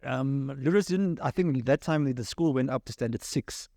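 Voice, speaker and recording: A man's voice: medium pitch, moderate pace, dark tone, no echo, studio quality. A middle-aged man with a Southern African accent, chatting casually as a podcast guest and telling his own story. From Cape Town and Namibia. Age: 40s or 50s. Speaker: podcast guest, sound engineer.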